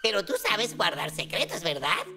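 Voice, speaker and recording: An actor voicing a kindly elder teacher, a tiny cartoon creature, explaining things in an instructive, expressive way. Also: medium pitch, normal speed, gravelly, music in the background. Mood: coy. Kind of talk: animated cartoon dubbing, explanatory narration.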